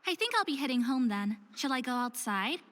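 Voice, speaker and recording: A woman's voice: high voice, very animated, fairly quick, a bit bright, in a large hall. A woman playing an earnest, stubborn and curious young woman of faerie descent in a visual novel game. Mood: musing. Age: young adult. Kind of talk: visual novel voice acting with inner monologue.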